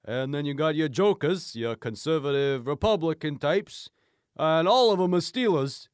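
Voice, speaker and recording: A man playing a self-assured crackpot conspiracy theorist, explaining emphatically and deliberately in an improvised radio comedy interview. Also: on the high side, animated, clear, resonant tone, no room sound, studio quality, close to an everyday voice. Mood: smug, revelatory.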